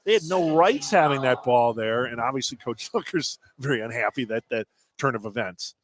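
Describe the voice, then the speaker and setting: A man's voice: mid-range voice, animated, normal speed. A man giving live basketball analysis as a color commentator. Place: indoor basketball gym, courtside. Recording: clearly noisy live gym broadcast. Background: clear gym noise.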